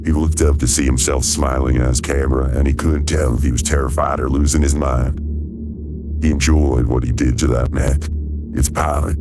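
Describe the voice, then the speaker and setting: Very deep-voiced male narrator. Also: flat delivery, moderate pace, very noisy. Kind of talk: scripted radio play narration. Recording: loud music under the voice.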